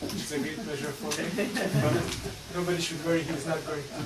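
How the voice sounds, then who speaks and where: A man introducing a guest speaker at a lecture, joking and halting. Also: fairly high pitch, steady tone, a loud crowd. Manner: jokey, halting. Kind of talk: event introduction. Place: lecture room. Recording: echoey room, distant mic, loud hiss.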